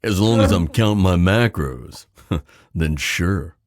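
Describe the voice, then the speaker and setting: A man playing an easygoing, deadpan ghost hunter in an audio drama. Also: deep voice, slow, no room sound, clean studio sound. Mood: easygoing, dry.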